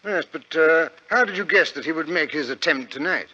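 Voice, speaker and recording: A man acting a genial, bumbling British gentleman sidekick in a 1940s radio drama, with an eager, hesitant, stammering manner. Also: fairly high pitch, fairly quick. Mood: curious, admiring. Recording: dull, lo-fi vintage radio recording.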